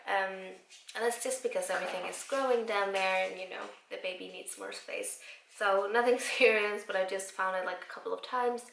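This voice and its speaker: A woman in her twenties from Iceland, slightly raspy after a cold, chatting casually to camera with lots of fillers. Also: medium pitch, fairly quick, fairly bright tone, roomy sound, slight background noise, rough recording. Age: 20s. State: recovering from a cold. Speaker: vlogger. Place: home room.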